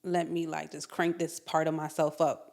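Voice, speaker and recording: A woman in her thirties, a podcast guest talking in a candid, animated way, full of "like"s and acted-out quotes. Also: deep voice, moderate pace, vocal fry. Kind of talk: casual chat.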